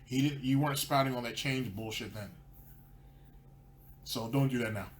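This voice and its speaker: A man's voice: mid-range voice, normal speed, a little hiss or hum. A man hosting a commentary show, ranting sarcastically over clips. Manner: sarcastic, mocking. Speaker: commentary channel host.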